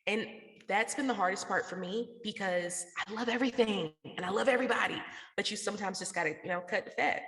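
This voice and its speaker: A woman's voice: mid-range voice, quick pace, fairly bright tone. An American woman from the South talking casually and slangily as a guest in a radio interview. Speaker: interview guest, podcast network founder. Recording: echoey, reverberant room.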